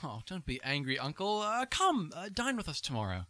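An actor playing a cheerful, warm-hearted gentleman, earnest and pleading, in a theatrical radio play. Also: a little high, huge pitch swings, a little fast, heavily acted. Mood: pleading, good-natured. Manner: earnest, hesitant interjections.

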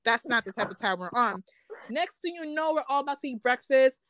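A young woman tells a story in a loose, slang-filled way and acts out the lines people said. Her voice sits fairly high. The recording is dry and close, with no room sound. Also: expressive, brisk, fairly bright tone, dry recording. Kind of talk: casual storytelling chat.

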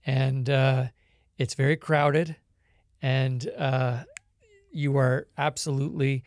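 A Canadian man, a podcast guest, telling his life story in a relaxed, reflective way with many small pauses. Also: medium pitch, gravelly, dry recording, clean studio sound. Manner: reflective storytelling with frequent ums. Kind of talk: conversational interview.

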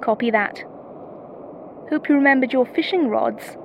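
A woman's voice: high voice, unhurried, vocal fry. A woman playing a playful, sardonic astronaut crewmate heard over radio comms in an audio drama. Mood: teasing. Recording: muffled, noisy radio link.

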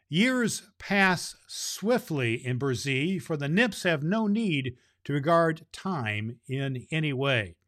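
A man speaking as a jolly Santa Claus reads a storybook to children. His pace is unhurried and gentle, and he occasionally trips over a word. His voice sits a little high for a man. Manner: slow, gentle, jolly, stumbles over words. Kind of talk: reading a children's storybook aloud.

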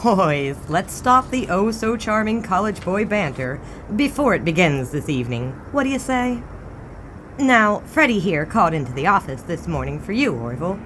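An actress playing a poised 1920s newspaper editor, arch and playfully commanding, in a period audio drama. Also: female voice, on the low side, very animated, moderate pace, fairly bright tone, a little hiss or hum, poor audio. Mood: brisk, commanding.

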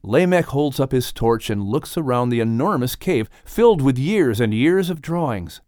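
A man reading a scripted audiobook story as its narrator, with expressive delivery. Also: medium pitch, normal speed, no echo, clean studio sound.

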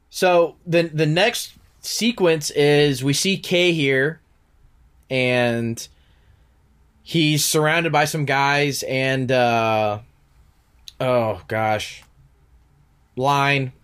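A man's voice: mid-range voice, drawn-out words, long pauses, fairly bright tone, smooth. Male podcast co-host, congested, casual run-on recap delivery. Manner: rambling, recounts scenes in long run-on stretches. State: stuffy nose.

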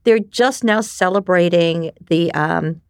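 A middle-aged woman from New York, whose first language was Japanese, telling her life story in a candid, animated way and often tagging on "right?". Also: on the low side, slow, smooth, no echo, clean studio sound. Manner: animated, frequent restarts and "right?" tags. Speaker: podcast guest.

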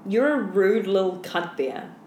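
A cringey, volatile boyfriend played by a woman reads his texts out loud. The baby talk and crude insults are delivered as a podcast bit, in a roomy, echoing space with clear background noise. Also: medium pitch, lively intonation, a little slow, lightly acted. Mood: spiteful. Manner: babyish pet names mixed with crude insults. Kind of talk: dramatic read-out of text messages.

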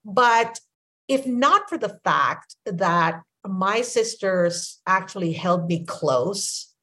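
A woman in her sixties with a Filipino accent, whose first language is Filipino, hosting a podcast and telling her story in a reflective, unhurried way. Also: medium pitch, lively intonation, pushed and bright. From Philippines. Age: 60s. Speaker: podcast host. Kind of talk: casual conversation.

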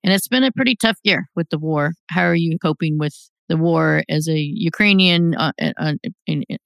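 Woman host, low voice, gravelly edge, smooth tone, dry close sound. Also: moderate pace, a bit bright, no room sound. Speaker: interview host. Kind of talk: interview questions.

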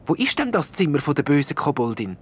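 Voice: medium pitch, sing-song, fast, breathy edge, pitched above the performer's own voice. A storyteller voicing a young, brave but puzzled princess asking earnest questions.

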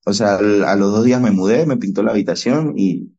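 Venezuelan male guest, young adult, low mellow voice, lively storyteller, lo-fi podcast audio. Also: brisk. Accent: Venezuelan Spanish. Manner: animated storyteller, reenacts dialogue. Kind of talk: storytelling interview.

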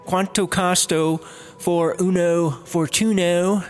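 A man's voice: sits high, steady tone, slow pace, soft background music. A man playing a clueless, cheeky fellow in a comedy audio drama, with a glib, oblivious delivery. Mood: musing, casual. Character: clueless, cheeky man, flippant lovelorn rehab patient.

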